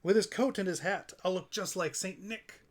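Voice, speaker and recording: Sour old scheming storybook grouch, sneering, male, fairly high-set, put on by a man reading aloud. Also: moderate pace. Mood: scheming, gleeful. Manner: snarling, sneering, gleeful scheming. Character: sour, scheming old Grinch who hates Christmas.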